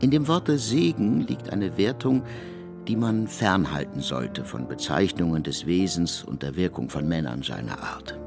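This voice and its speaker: A man with a very low voice reads literary prose in over prominent music. His intonation moves widely, yet the phrasing stays deliberate and reflective, as in a radio drama narration. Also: very animated, vocal fry.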